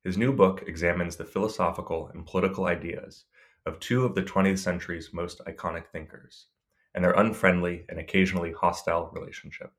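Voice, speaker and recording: A man's voice: sits low, fairly even intonation, fairly quick. A man hosting a book podcast, reading a formal introduction and then asking loose, conversational questions. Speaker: podcast host, assistant editor. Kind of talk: podcast interview.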